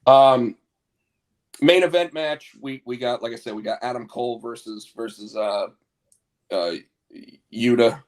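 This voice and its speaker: A man's voice: medium pitch, a little slow. A man co-hosting a casual talk show, stuffed up with a cold, talking in rambling bursts with lots of stammered restarts. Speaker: podcast co-host.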